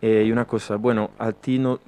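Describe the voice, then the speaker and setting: A man's voice: on the low side, little pitch movement, brisk, warm tone, clear, resonant tone. Young male host from Brazil, chatty, restarts his lines, easygoing. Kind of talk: casual conversation.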